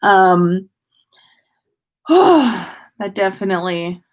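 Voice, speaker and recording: A woman's voice: mid-range voice, animated, drawn-out words. A woman hosting a casual story livestream, reading aloud expressively and chatting with listeners. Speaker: podcast host reading listener fan fiction aloud.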